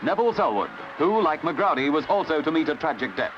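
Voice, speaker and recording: An Australian man reading scripted 1950s newsreel narration in a brisk, colourful announcer's delivery, on a vintage soundtrack. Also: on the high side, sing-song, lo-fi sound. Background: music.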